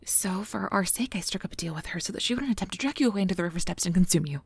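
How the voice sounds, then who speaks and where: A woman playing a nervous, earnest, principled field researcher of magical creatures in an ASMR audio drama, soft and hesitant with stammers, close to the mic. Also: mid-range voice, animated, racing, dry recording. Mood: sheepish, explaining. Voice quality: breathy, soft. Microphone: close mic, dry recording.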